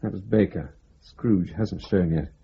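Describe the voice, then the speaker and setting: An actor playing a weary, businesslike intelligence officer in a radio drama, low-key in manner. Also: male voice, a little slow, a little hiss or hum. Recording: dull lo-fi recording.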